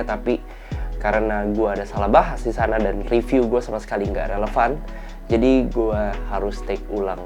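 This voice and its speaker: A young man talks through a product review in a relaxed, slangy way. His nose is clearly blocked, so the voice sounds stuffy and a little worn out. Light music plays behind him. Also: mid-range voice. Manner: relaxed, chatty, slang-heavy delivery. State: tired and run-down. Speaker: tech reviewer hosting his channel.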